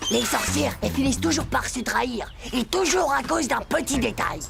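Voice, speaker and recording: A woman voicing a brash, hot-headed, mischievous schoolboy in a cartoon, with a gravelly voice and cheeky, emphatic, slangy delivery. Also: sits high, lively intonation, fairly quick, full character voice. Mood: excited, sneaky. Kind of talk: animated cartoon dialogue. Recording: music playing behind the voice.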